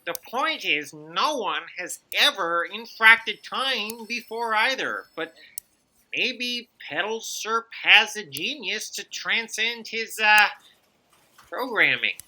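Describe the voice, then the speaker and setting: A woman's voice: medium pitch, expressive, slow pace, sharp tone, heavily acted, low-quality recording. A woman voicing a hesitant, thoughtful female crew member who stammers as she reasons.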